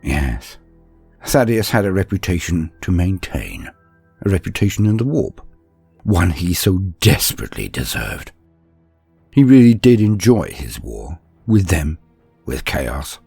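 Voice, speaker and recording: A man narrating a scripted dramatic story in the first person, measured and pausing mid-sentence. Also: low-pitched, big pitch swings, gravelly, mellow, slightly rough, soft background music.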